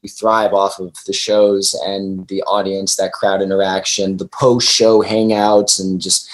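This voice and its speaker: Lowish-voiced man in an interview. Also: level tone, unhurried, poor audio. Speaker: band member being interviewed. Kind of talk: casual chat.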